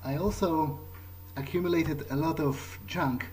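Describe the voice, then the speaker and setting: A man role-playing his character in a video game playthrough, thinking aloud in a hesitant, halting, musing way. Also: fairly high pitch, fairly even intonation, a little mellow, a little hiss or hum, rough recording. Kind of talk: improvised in-character monologue over a video game.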